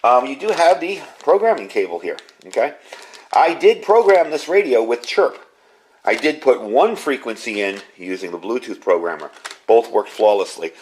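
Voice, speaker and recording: Male product reviewer, medium pitch, casual hands-on demo delivery, enthusiastic and informal explainer. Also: animated, normal speed, slight background noise. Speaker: ham radio product reviewer.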